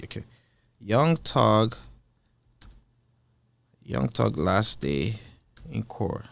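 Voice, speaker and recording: A man's voice: lowish voice, very animated, drawn-out words, creaky, no room sound. A man from Africa hosting a reaction video, talking loosely and correcting himself as he goes. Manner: rambling, loose, self-correcting. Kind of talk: casual reaction commentary.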